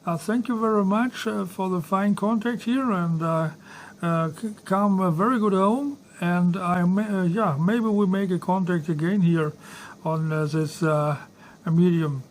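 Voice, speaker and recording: Halting Dutch-accented man, higher-pitched voice. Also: steady tone, slight background noise. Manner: halting, hesitant, polite. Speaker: amateur radio operator. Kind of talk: casual ham radio contact.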